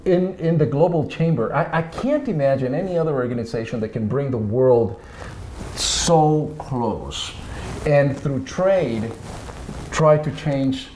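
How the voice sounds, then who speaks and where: A man with a mid-range voice hosts an interview, and his pitch swings widely as he talks. He sounds enthusiastic and jokey, with a faint hiss of background noise under him. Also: animated, a little slow, a little mellow, low-quality recording. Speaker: podcast host. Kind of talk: casual interview.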